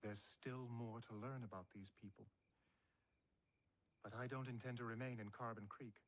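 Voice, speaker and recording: An actor playing a curious, calm male alien scientist in a television drama clip. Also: mid-range voice, natural voice. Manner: calm, measured.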